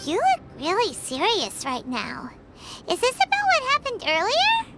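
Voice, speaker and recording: Squeaky, bouncy little fairy companion. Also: female voice, measured pace, over loud music, heavily acted. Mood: puzzled, surprised. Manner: speaks of herself in third person, exclamatory and expressive. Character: tiny high-pitched childlike female fairy companion, chirpy and nosy. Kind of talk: video game character acting.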